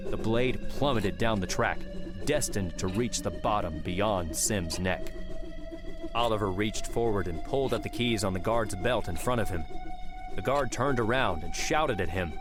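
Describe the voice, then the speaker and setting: A man narrating an audiobook in a measured, dramatic storytelling manner. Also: fairly low pitch. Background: background music.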